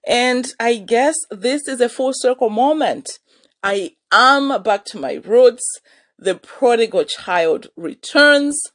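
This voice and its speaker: A woman's voice: on the high side, takes it slowly. Chatty woman podcast host. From Malawi. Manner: chatty, animated storyteller who laughs often. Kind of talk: casual solo podcast monologue.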